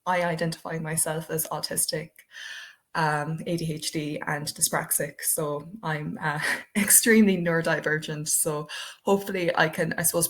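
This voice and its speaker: A young Irish woman with an Irish accent and a low, deep-set voice hosts an online webinar. She talks in a chatty, loose way, filling gaps with "um" and "I suppose". She sounds as if she is speaking into a computer microphone on a video call. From Ireland. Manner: chatty, many fillers like "um" and "I suppose". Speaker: webinar presenter. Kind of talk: webinar presentation.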